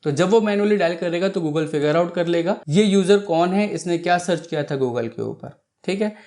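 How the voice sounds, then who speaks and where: Man, fairly high voice, tutorial presenter, step-by-step explanation, mixes in English technical terms, frequent check-in tags. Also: moderate pace, a little mellow. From India.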